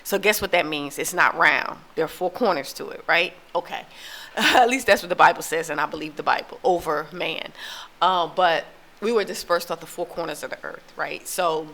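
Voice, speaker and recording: Bright-toned low-voiced American woman rambling. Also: moderate pace, poor audio. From United States. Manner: rambling, many fillers and restarts. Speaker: relocation vlogger. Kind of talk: casual opinion monologue to camera. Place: living in Tanzania.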